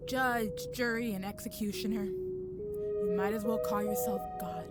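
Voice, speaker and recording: A woman's voice: medium pitch, fairly even intonation, measured pace, over loud music, lightly acted. A woman playing a defiant, wounded young agent in an audio drama, bitter, sarcastic and emotionally raw. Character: defiant, wounded young female agent, daughter of a cold commander. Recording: clear ambient noise behind voice.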